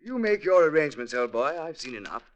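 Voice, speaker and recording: An actor playing a pompous British army major in a radio drama, with a blustering, hesitant, clipped way of repeating himself. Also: male voice, on the high side, very animated, fast talker. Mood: dismissive. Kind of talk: old-time radio drama acting. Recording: classic radio recording with faint noise.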